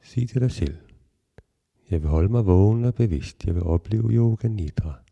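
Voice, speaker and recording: A man guiding a relaxation meditation in a calm, measured, instructional way with long pauses. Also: lowish voice, creaky, husky, muffled recording. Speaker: yoga nidra guide.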